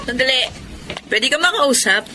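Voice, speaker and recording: A woman's voice: high voice, expressive, normal speed, over loud music. A woman playing a brazen, evasive mistress in a Filipino drama, breezy and exclamatory. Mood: defensive. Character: brazen, evasive young woman, cousin and secret lover. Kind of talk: Filipino radio-style drama acting.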